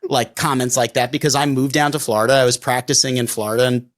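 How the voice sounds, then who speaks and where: A middle-aged man with a New York accent, chatting casually as a podcast co-host, pausing and restarting often. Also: medium pitch, steady tone. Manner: halting, many restarts and fillers. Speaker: defense attorney co-host.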